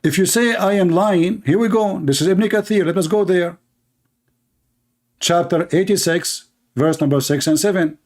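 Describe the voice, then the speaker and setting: A man's voice: high voice, normal speed, darkish tone. A man whose first language is Arabic, hosting a live online debate in English with mocking, sarcastic emphasis. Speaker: debate show host.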